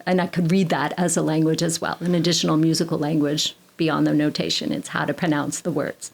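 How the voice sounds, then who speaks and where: Creaky-voiced woman singer chatting. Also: deep voice, little pitch movement, fairly quick. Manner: rambling, self-correcting, chatty with frequent fillers. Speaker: podcast guest, classical singer. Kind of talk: casual conversational interview.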